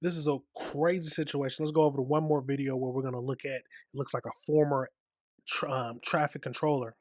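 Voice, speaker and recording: A man's voice: mid-range voice, fairly even intonation, a little fast, darkish tone, dry recording, studio quality. A man hosting a reaction video, casually and emphatically commenting on news clips into a USB desktop mic. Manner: casual, emphatic, opinionated asides. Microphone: USB desktop microphone.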